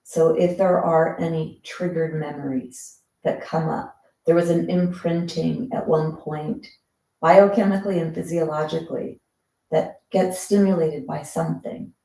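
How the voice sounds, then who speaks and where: A breathwork teacher leading a guided breathing meditation with soft, slow, soothing instructions. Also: mid-range voice, steady tone, gravelly, far from the mic. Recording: roomy echo.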